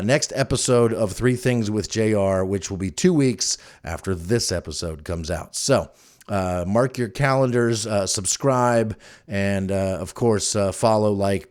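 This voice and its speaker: A man's voice: on the low side, moderate pace, a little mellow. A middle-aged man hosting a solo podcast in a casual, chatty, conversational storytelling manner. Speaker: podcast host.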